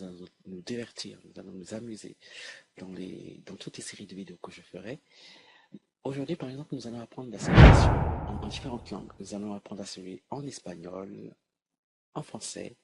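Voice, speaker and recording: A man's voice: lowish voice, vocal fry, darkish tone, a little hiss or hum. A man hosting an educational video, explaining informally to the viewer in a hesitant way, often repeating and correcting himself. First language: French. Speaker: host of an educational video series.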